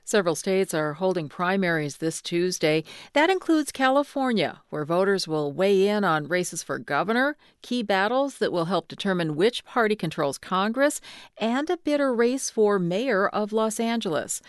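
Woman, newscaster, bulletin reading, mid pitch, dry close studio sound. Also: animated, moderate pace, clear, resonant tone, dry recording. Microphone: studio mic.

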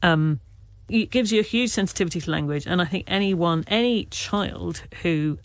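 A man from Wales being interviewed as an author, speaking with a stammer in halting, earnest, reflective stories full of restarts. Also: high voice, no echo. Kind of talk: candid conversational interview.

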